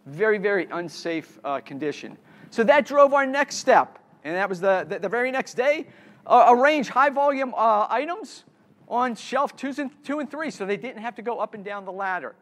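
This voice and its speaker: A man's voice: sits high, a bit bright. A middle-aged man giving a conference keynote, often stumbling and repeating words as he restarts. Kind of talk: conference talk with slides. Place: conference room.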